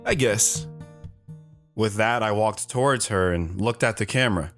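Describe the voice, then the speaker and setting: A man playing a shy, hesitant wanderer who narrates his own story in an audio drama, reflective in tone, with soft guitar underneath. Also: fairly low pitch, a little slow. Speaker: narrator and guest.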